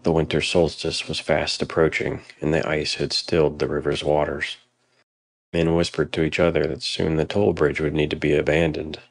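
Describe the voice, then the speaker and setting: Very deep, gravelly male storyteller. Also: level tone, no room sound. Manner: solemn, measured storytelling. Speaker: narrator. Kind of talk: scripted dramatic story narration.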